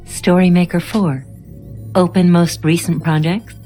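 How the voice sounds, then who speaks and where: An actress playing an impassive female computer assistant in an audio drama, giving measured, emotionless prompts. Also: fairly low pitch, fairly even intonation, dark tone, faint music behind, natural voice. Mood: neutral.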